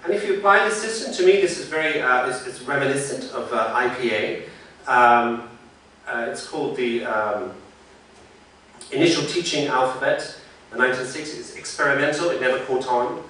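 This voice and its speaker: A man, a phonetician, giving a conversational conference talk, thinking aloud with frequent pauses. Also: medium pitch, sharp tone, a little hiss or hum. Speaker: symposium speaker, phonetician. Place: large lecture room. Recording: very echoey hall, mic far off.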